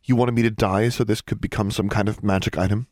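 A man voicing a fierce, grieving teenage girl witch in a storybook reading, with a high strained voice. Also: steady tone, fast talker, no echo, studio quality, lightly acted. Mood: furious. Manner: high strained voice, shouting to whispering.

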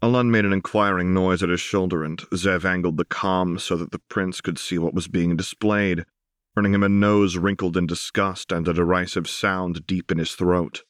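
A man with a deep-pitched voice narrates a work of fiction. He reads scripted prose as an audiobook narrator, in a dry space with no echo. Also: fairly even intonation, normal speed.

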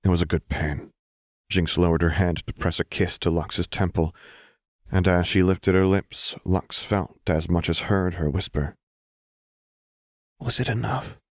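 A man's voice: sits low, steady tone, moderate pace, no room sound, clean studio sound. A man reading a scripted story as an audiobook narrator.

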